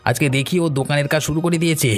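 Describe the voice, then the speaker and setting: Cartoon henchman, male actor: bullying yet fawning, quick delivery, slightly high pitch. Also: fairly even intonation, faint music behind, close to an everyday voice. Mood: agitated, fawning. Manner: fast, agitated, goading. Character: village headman's hot-headed bullying henchman, a sycophant. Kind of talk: cartoon dialogue.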